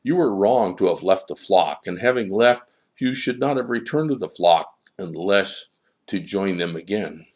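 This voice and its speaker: Man voicing a stern, low-voiced holy child. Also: expressive. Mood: solemn, lecturing. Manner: stern, measured, didactic. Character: stern, wise divine child, serenely correcting.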